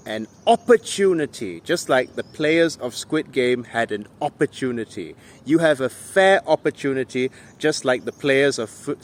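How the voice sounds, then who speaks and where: Man, mid-pitched voice, emphatic political monologue, indignant rhetorical delivery, noisy ambient recording. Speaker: political commentator.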